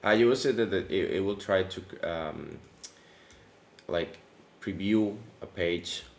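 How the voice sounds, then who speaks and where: A man hosting a casual coding tutorial, his voice deepened by allergies, talking in a relaxed, chatty way with many fillers and restarts. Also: slow pace, vocal fry. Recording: echoey room with faint background noise.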